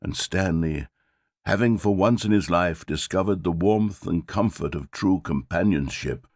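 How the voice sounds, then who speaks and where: A man's voice: sits low, unhurried, darkish tone, slightly rough, no echo, heavily acted. A man voicing a sardonic, theatrical game narrator, recorded in a studio. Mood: wry. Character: sardonic, theatrical male storyteller.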